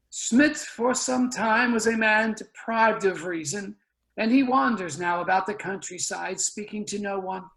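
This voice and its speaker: An actor performs a grave elder spokesman, weary and embittered. The words come slowly and deliberately, with a heavy creak. The delivery is declamatory, like a staged reading of a science fiction tale. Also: mid-range voice, fairly bright tone, poor audio. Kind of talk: dramatic virtual play reading. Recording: video call reading, uneven sound.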